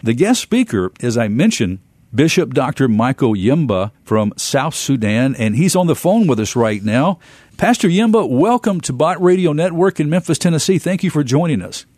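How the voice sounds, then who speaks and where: American radio host, dry studio sound. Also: male voice, on the high side, sing-song, moderate pace, a little mellow, no room sound.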